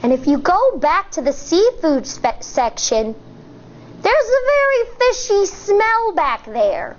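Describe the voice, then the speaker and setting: An American woman in her thirties, a teacher reading a picture book aloud to children in an expressive, deliberate way. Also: very high voice, dull audio, slight background noise. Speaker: elementary school teacher.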